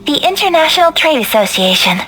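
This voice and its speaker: Courteous, high-voiced refined lady. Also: sing-song, a little fast, music in the background, rough recording. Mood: gracious. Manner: formal, polite. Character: courteous, refined women: shopkeeper and young noble lady. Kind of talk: video game voice acting.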